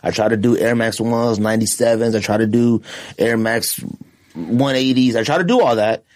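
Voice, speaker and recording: A man's voice: medium pitch, moderate pace, a little mellow. A middle-aged man co-hosting a casual podcast, answering listener questions in a laid-back, joking way, with slang like "fam". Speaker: podcast co-host.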